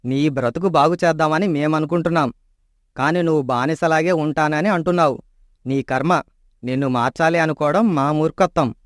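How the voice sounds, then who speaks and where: A man's voice: a little high, moderate pace, dry recording, clean studio sound, lightly acted. An actor playing a greedy, scheming man in a storybook tale, coaxing and sneering. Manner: persuasive, sneering.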